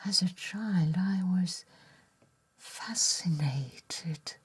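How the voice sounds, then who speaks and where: A woman playing a gentle, nostalgic bookshop keeper in a breathy ASMR roleplay whisper, with genteel, deliberate phrasing. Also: fairly low pitch, exaggerated voice. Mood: fond nostalgia.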